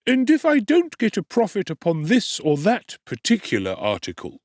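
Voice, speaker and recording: A man voicing a gaunt, jocular middle-aged undertaker with a high, wheedling tradesman's whine. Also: wildly swooping pitch, moderate pace, dry recording, studio quality.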